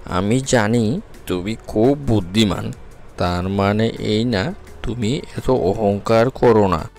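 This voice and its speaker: A grave cartoon bear played by a man who speaks slowly and a little lower, with music underneath. The manner is preachy and admonishing, suited to a moral fable. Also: darkish tone.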